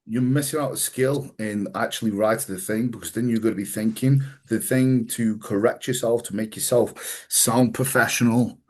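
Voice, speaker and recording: A man hosting a casual podcast chat, swearing freely and telling animated stories with quoted voices. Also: mid-range voice, normal speed, hardly any pauses. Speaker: podcast host and coach.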